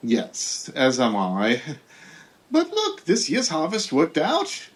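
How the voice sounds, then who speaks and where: An actor playing a blunt, deadpan male Pilgrim governor in a comedy sketch. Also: on the high side, slow, full character voice. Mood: amused, matter-of-fact. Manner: matter-of-fact, darkly deadpan.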